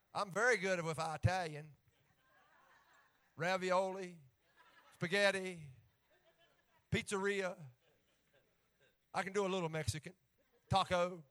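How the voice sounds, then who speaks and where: A man's voice: high voice, pushed and bright. A man with an Appalachian accent preaching a sermon in a folksy, joking way that rises to fervent emphasis when he reads scripture.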